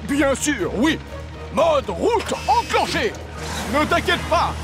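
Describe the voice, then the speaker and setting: Showy cartoon fire engine, high, lively swooping delivery, boastful and emphatic, loud music behind. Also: slow, full character voice. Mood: confident, reassuring. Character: eager, showy fire engine, self-styled super firefighter. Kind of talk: French cartoon dubbing.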